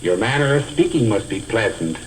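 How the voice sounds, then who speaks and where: Male instructor for a vintage teaching film: didactic, deliberate, lively pitch swings, light hiss on the track. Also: rough recording. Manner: deliberate, carefully enunciated, didactic. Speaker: speech teacher. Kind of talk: scripted instructional film lecture. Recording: hissy old educational film soundtrack.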